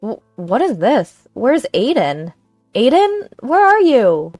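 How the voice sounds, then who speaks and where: A woman's voice: a little high, wildly swooping pitch, slow, a little mellow, dry recording, clean studio sound, faint music behind, pitched above the performer's own voice, heavily acted. A woman voicing a polite, worried and suspicious grandmother in an audio story, with a concerned, questioning manner. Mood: worried, searching.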